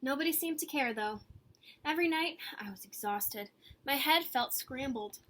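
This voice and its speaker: A woman voicing an earnest, eager good egg telling its own story from a picture book, with expressive pauses and emphasis. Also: high voice. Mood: exasperated, weary. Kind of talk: picture-book read-aloud.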